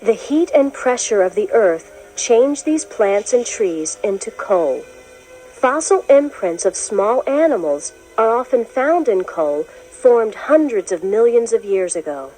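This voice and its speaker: A woman reading a children's science book as scripted narration, in a clear, expressive storytelling manner. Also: fairly high pitch, a little slow, soft background music, poor audio. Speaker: narrator of a children's educational read-along.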